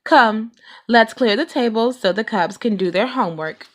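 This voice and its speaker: A woman's voice: a little high, moderate pace, fairly bright tone, voice pushed up, natural voice. Woman as a sensible, chiding mama bear. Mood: reproving. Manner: firm, emphatic, reproving.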